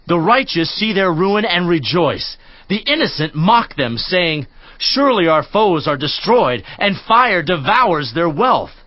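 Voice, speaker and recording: A man's voice: on the high side, dry recording. An actor playing a stern friend who lectures a suffering man in a dramatized scripture reading, admonishing and preacherly. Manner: admonishing, preacherly, rising and falling emphasis. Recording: slightly dull dry studio recording.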